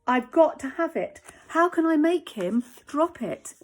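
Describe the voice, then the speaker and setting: A woman's voice: high voice, lively intonation, measured pace, voice pushed up. A woman voicing a greedy, jealous male dog in a storybook, speaking eagerly and whining when things go wrong. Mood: cross. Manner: eager and whiny, louder than the narration.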